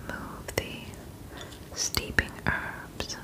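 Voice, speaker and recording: Woman playing a slow, whispering friendly witch. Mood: soothing, reassuring. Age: 20s. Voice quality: breathy whisper. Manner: slow, gentle, reassuring. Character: friendly, soothing young forest witch. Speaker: ASMR performer. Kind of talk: whispered ASMR roleplay. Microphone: close microphone.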